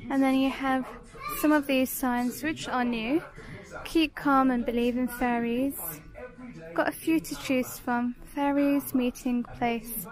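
A British woman narrating a casual shopping vlog, listing items in short descriptive phrases. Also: sits high. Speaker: shopping vlogger. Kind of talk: casual shop-with-me product showcase narration.

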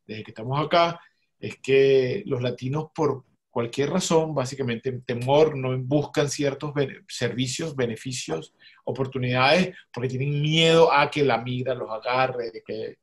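A man's voice: medium pitch, unhurried. A man speaks on a video call in a mid-range voice. He works as a journalist and often restarts or repeats words as he explains his project. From Venezuela. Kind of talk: casual self-introduction.

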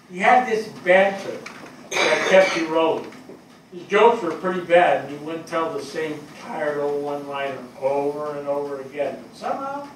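A man's voice: on the high side, lightly acted. Stage reading: older male actor as weary, affectionate fire captain, conversational storyteller, distant and very reverberant. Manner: conversational, storytelling, with pauses and repeats. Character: weary, affectionate fire captain reminiscing about lost men. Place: small theatre stage before an audience. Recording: echoey theatre, far from mic, faint noise.

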